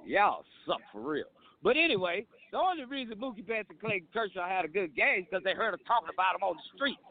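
A man trades jabs on a sports talk show, talking over the others to make his point. His voice sits a little high and swings widely. The sound is thin and tinny, like a phone call. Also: fast. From Arizona. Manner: animated, talks over others. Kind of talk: heated sports banter. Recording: phone-line audio.

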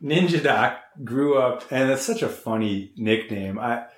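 A man's voice: mid-range voice, animated, unhurried. An upbeat, chatty American man in his forties, talking casually on a podcast. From Chicago, United States. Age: 40s. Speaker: podcast host and athlete. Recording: roomy, echoing podcast recording.

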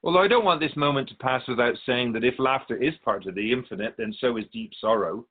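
A man playing a serene, wise otherworldly keeper of souls in a radio drama, speaking in a measured, formal, instructive manner. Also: medium pitch, phone-quality sound, natural voice. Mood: gently admonishing.

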